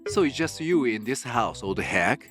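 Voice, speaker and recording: An insolent, spoiled teenage stepson acted by a man in a manga dub. The voice is mid-pitched and swings widely as he mocks, over a light music bed. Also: animated, moderate pace, a bit bright, natural voice. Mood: entitled. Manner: mocking, rude. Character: insolent, spoiled eighteen-year-old stepson, sneering.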